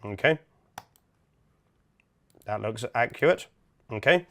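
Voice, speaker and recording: Man, instructor, step-by-step explanations, methodical, informal, medium-pitched voice. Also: animated, takes it slowly, gravelly, a bit bright. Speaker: instructor, product demonstrator. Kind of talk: software setup tutorial, step-by-step walkthrough.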